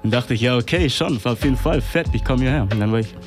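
A man's voice: medium pitch, quick pace, over music. A man in his twenties from Tanzania, a rapper guest chatting casually and laughing often, with Swahili and English as his first languages. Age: 20s. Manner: laid-back, laughs often, slangy, drops English phrases. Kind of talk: casual interview chat.

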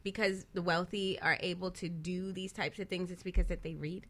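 A low-voiced woman with a bright, forward tone joins a casual panel discussion. She is agreeable, often affirming the others before adding a point of her own. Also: steady tone, normal speed.

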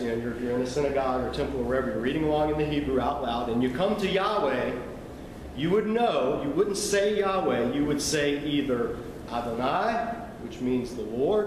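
A man teaching a sermon in a conversational, explanatory way, with asides to his listeners. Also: a little high. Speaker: preacher. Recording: echoey hall, noisy ambience.

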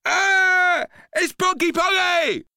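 Shrill, bratty young boy. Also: slow pace, creaky, sharp tone, no room sound, studio quality, heavily acted. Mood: disgusted, furious. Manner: slangy, whiny, explosive outbursts. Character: bratty, greedy young boy, whining and shrieking with defiance.

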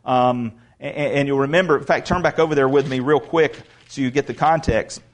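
A man preaching a sermon in a church, talking casually with asides and restarts, then reading scripture aloud and building to loud proclamation. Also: mid-range voice. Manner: reads scripture aloud slowly. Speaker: pastor. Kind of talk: expository sermon.

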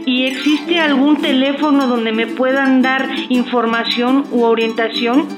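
A woman's voice: high-pitched, steady tone, measured pace. A woman with a Mexican accent playing a humble, courteous midwife calling in, deferential and inquiring, in a scripted radio drama. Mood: humble, curious. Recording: dull band-limited caller sound. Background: music.

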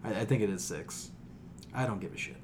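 A man co-hosting a podcast, talking casually and opinionatedly, with animated exasperation and casual swearing. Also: fairly low pitch, brisk, background noise. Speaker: podcast co-host.

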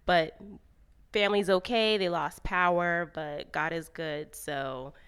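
A woman's voice: fairly low pitch, steady tone, takes it slowly, a bit bright, smooth. A woman from Florida co-hosting a podcast in chatty, casual talk full of likes and ums. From Florida, USA. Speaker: podcast co-host. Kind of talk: casual chat.

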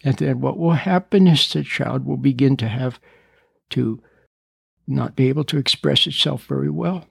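A man's voice: mid-range voice, moderate pace, gravelly, husky, dry recording, clean studio sound. A stuttering man in his seventies. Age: 70s. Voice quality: stutters at times. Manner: earnest, preachy, repeats and rephrases points. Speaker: speaker on parenting. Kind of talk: recorded talk addressing parents.

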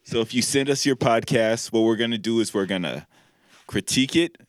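A man's voice: medium pitch, fairly quick, no echo. A young man co-hosting a podcast in casual chat, laid-back and rambling, trailing off into fillers. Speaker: podcast co-host. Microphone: studio mic.

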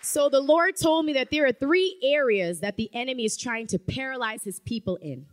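A woman's voice: sits high, normal speed. A woman preaching a sermon in a church, emphatic and rising to fervent calls of amen. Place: church sanctuary.

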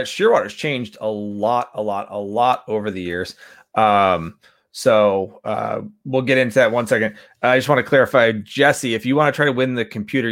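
A man's voice: lowish voice, fairly bright tone. A man hosting a podcast, chatting and joking with listeners and rambling into his questions. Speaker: podcast host. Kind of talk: casual interview.

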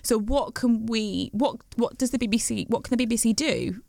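A woman from the West Midlands in a casual discussion, animated and playful, quick to tease and laugh. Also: on the high side, creaky, no echo, studio quality. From West Midlands, England.

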